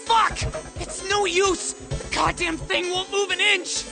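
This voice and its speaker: A woman's voice: high voice, moderate pace, over music, close to an everyday voice. A woman playing a desperate, fiercely protective young brother in a video game. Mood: furious, frantic.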